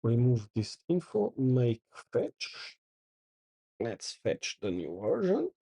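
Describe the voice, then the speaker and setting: Middle-aged man host, husky and unhurried, talking himself through commands. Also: medium pitch, wildly swooping pitch, mellow. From Porto, Portugal. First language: Portuguese. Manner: thinks aloud while reading out commands. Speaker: channel host. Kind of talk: casual live coding commentary.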